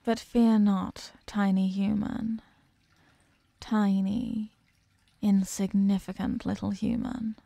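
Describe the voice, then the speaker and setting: A woman plays an ancient female eldritch being in a horror audio roleplay. She is possessive and seductively menacing, looking down on mortals as tiny things. The voice is low-lit and mellow, deliberate in every word, and very close and dry, as if nothing stands between her and the listener. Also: level tone, vocal fry, no room sound. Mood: possessive, grandiose. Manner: slow, deliberate, condescending, commanding. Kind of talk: scripted ASMR horror roleplay.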